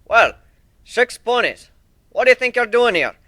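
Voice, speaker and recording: Storybook minotaur, acted by a man: Scottish, boisterous and courtly, pitched up, bright and forceful. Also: big pitch swings, a little slow, no echo, clean studio sound, exaggerated voice. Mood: gruff welcome. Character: cheery, hearty Scottish minotaur shipwright.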